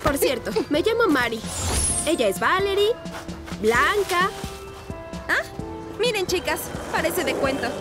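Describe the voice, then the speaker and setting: Methodical cartoon bookworm girl, high voice, matter-of-fact but melodic. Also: big pitch swings, a little slow, pauses often, heavily acted. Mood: precise. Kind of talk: animated cartoon acting. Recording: music behind the voice.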